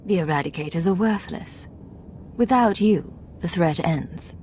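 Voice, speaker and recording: An actress playing a cool, determined imperial secret agent in a video game, with a terse, confrontational manner. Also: female voice, lowish voice, lively intonation, a little slow, mellow, slight background noise. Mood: cool resolve.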